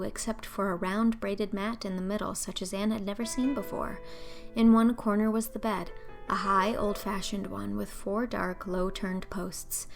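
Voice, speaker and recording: Woman narrator with a slightly creaky voice. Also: medium pitch. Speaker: audiobook narrator. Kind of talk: scripted storybook narration.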